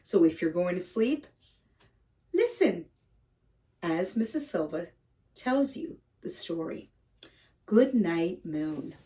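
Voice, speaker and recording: Slow, mellow woman reading to young children. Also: on the low side, very animated. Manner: addresses young children directly, simple gentle phrasing. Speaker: kindergarten read-aloud teacher and host. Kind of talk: children's picture book read-aloud. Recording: slightly distant microphone.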